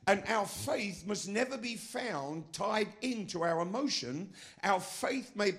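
A middle-aged man preaching a sermon as pastor of his church, with a non-American accent, emphatic and asking the congregation questions. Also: sits high. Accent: foreign, not American.

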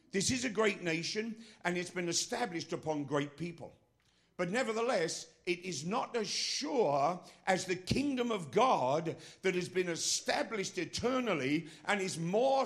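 A middle-aged man preaching a sermon as pastor of his church, with a non-American accent, emphatic and asking the congregation questions. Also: on the high side. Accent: foreign, not American.

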